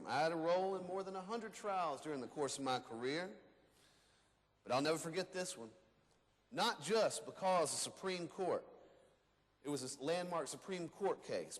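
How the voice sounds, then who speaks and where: Proud veteran defense lawyer played by a man: higher male register, direct stage address, reverberant room. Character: Fred Turner, seasoned male defense attorney, proud and earnest. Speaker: reader in a readers theater re-enactment. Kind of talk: scripted first-person dramatic reading. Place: large hall before an audience. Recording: echoey hall with long reverb.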